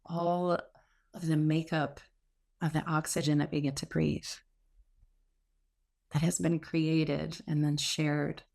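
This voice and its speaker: Female meditation guide, deep register with noticeable vocal fry, soothing and unhurried. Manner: slow, gentle, soothing delivery with pauses. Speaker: meditation teacher.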